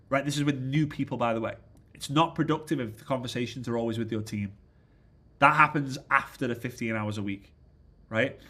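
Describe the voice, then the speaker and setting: A congested man giving an energetic motivational business training talk, often checking in with "right?". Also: fairly high pitch. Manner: energetic, keeps asking "right?". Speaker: business coach.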